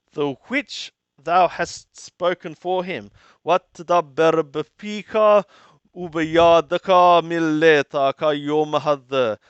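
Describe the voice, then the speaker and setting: A man reading scripture aloud and translating it phrase by phrase in a deliberate, halting manner, often repeating and rephrasing. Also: a little high, clear, resonant tone, dry recording, dull audio.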